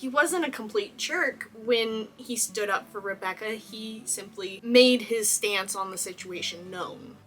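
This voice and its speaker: A woman's voice: fairly high pitch, fairly bright tone. A young woman from Texas, a cosplayer, chatting enthusiastically through a casual movie costume analysis and laughing often. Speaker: cosplayer and video host.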